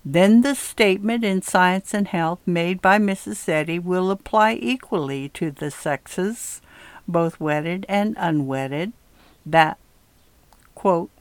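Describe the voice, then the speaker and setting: A woman reading a religious essay aloud in a measured, deliberate way. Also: on the low side, clear, resonant tone, no echo. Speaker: reader of a religious article.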